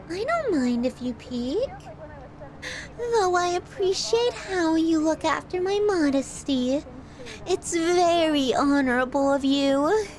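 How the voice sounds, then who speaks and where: A woman's voice: high-pitched, darkish tone. A woman playing a possessive, sadistic yandere girlfriend in an ASMR roleplay, doting and cutesy with theatrical affection. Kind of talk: ASMR roleplay, scripted monologue to the listener.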